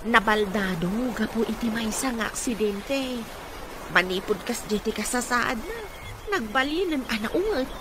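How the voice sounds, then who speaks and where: A woman's voice: fairly high pitch, animated, moderate pace, natural voice. A woman playing a deferential, friendly, gossipy housekeeper in a radio drama. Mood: chatty, gossipy. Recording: clearly noisy background.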